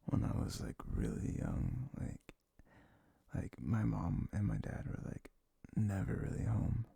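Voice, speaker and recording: Gravelly, low-voiced young man in intimate ASMR. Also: big pitch swings, dry recording. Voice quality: low, gravelly, breathy, often dropping near a whisper. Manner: rambling, halting, frequent "like", soft chuckles. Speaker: ASMR audio creator playing a comforting boyfriend. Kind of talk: intimate ASMR, casual unscripted rambling.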